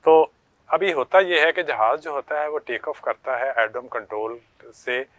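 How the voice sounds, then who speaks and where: Male, middle-aged, Pakistani accent. Polite expert guest, explanatory, repeats phrases, mid pitch, dry recording. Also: expressive, moderate pace, fairly bright tone, no room sound. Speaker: guest expert, air traffic controller. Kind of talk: explanatory interview answers.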